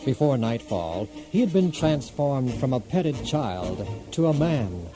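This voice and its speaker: Slow, very expressive male narrator over music. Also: medium pitch. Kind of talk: dramatic scripted storytelling for children.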